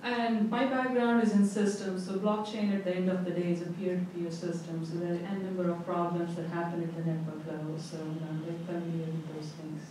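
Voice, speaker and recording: A woman, a security researcher, introducing herself on a conference panel in a measured, explanatory way with occasional "you know"s. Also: lowish voice, flat delivery. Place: conference hall. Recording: echoey hall, distant mic, faint noise.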